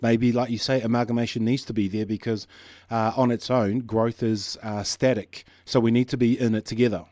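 A man hosting a radio show, asking questions in a thoughtful, measured way. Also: mid-range voice, steady tone, a little mellow, no echo. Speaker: radio host. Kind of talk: radio interview.

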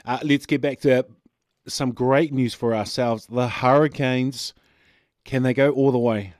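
A man's voice: mid-range voice, steady tone, measured pace, a little mellow, no room sound, clean studio sound. A man hosting a radio sports show, setting up topics and steering the talk with short prompts. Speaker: radio host.

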